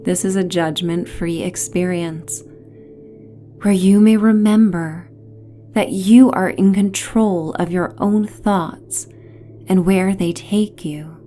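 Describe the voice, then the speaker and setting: A woman guides a bedtime visualization at a very slow, hypnotic pace. Her voice is mellow and relaxed, with a medium pitch and gentle phrases that fade toward a breathy whisper. Soft music plays faintly behind her. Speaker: narrator and host of sleep stories. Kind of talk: guided sleep story narration, slow and hypnotic.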